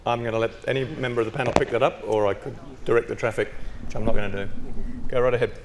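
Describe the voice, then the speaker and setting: A man moderating a conference panel, directing turns and affirming speakers briskly. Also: medium pitch, fairly even intonation, background noise. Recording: reverberant conference hall.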